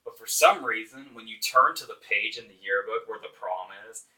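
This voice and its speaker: A man hosts an informal movie review in a bright, forceful voice, sounding exasperated and sarcastic. He leans hard on his words and swears casually. The microphone is some distance away from him. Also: lowish voice, moderate pace. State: voice getting hoarse. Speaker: movie reviewer, show host.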